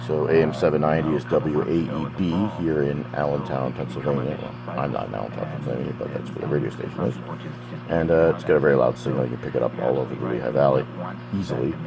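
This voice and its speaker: A man's voice: rumbling low, steady tone, fast talker, vocal fry. An American man giving casual, unscripted narration of a hobby project, rambling conversationally with asides. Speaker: hobbyist presenting a DIY project. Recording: background chatter and hum from playing radio. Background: old AM radio playing with a loud hum.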